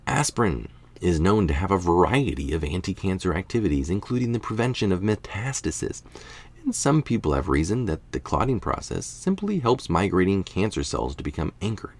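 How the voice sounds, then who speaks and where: Deep, creaky-voiced man reading aloud. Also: sing-song, fairly quick. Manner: informal, musing, stumbles over technical words. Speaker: article reader and commentator. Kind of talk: reading a science article aloud with casual asides.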